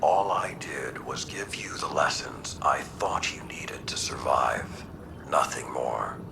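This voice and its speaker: A man playing a grave, battle-worn mentor who talks bluntly in measured, hushed tones in a video game. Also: rumbling low, animated, creaky, in a whisper, heavily acted. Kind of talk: scripted game dialogue.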